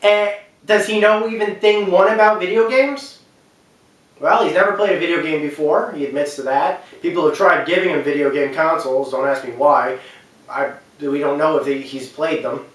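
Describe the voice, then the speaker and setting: A man's voice: on the high side, fairly even intonation, normal speed. A man giving an unscripted, opinionated commentary, rambling and backtracking as he makes his points with emphasis. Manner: rambling, hesitant, often backtracking. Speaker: host giving video commentary. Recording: very echoey room, distant from mic.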